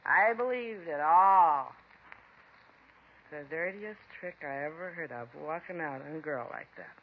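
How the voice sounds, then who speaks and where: An actress playing a bitter, defiant mother in a 1950s radio drama, shrill and accusing, then whining in self-pity, heard on a dull old radio broadcast. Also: a little slow, slight background noise.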